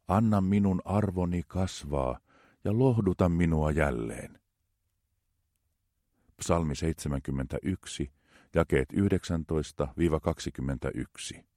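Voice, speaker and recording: Man, very low voice, solemn scripture reading, dry close sound. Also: darkish tone, no echo. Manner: slow, measured, reverent reading. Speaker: scripture reader.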